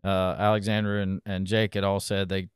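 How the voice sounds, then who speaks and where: Low, smooth male host. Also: little pitch movement, normal speed, no echo, clean studio sound. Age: 30s-40s. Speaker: podcast host. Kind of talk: casual interview chat. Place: small indoor room, seated. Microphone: close podcast mic on boom arm.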